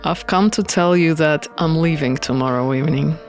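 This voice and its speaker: A reserved, serious man from a visual novel, put on by a reader. He speaks in a formal, terse way. Music plays softly beneath his words. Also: mid-range voice, normal speed, pitched below the performer's own voice, natural voice. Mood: grave, earnest. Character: Felix, reserved, scowling man in a dream.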